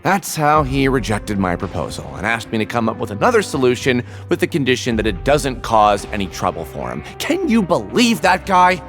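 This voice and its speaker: A man's voice: medium pitch, very animated, moderate pace, few pauses, a bit bright, faint music behind. A man playing a fussy, self-important, aggrieved salesman in a video game, whiny and theatrical. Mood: indignant. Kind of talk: video game character acting.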